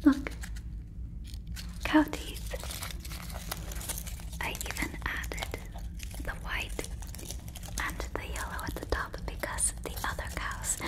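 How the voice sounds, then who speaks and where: A woman voicing a friendly, naive female alien in an ASMR roleplay, whispering breathily and very close to the mic with soothing, stilted, formal phrasing. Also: sits high. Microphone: fluffy microphone, very close.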